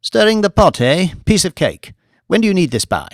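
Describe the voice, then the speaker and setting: An eccentric male scientist as performed by a male audiobook reader, pitched up high and dryly recorded. He is fussy and excitable, rambling on with gloating glee. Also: moderate pace, dry recording, studio quality, full character voice. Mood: arch, teasing. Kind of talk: audiobook character voice.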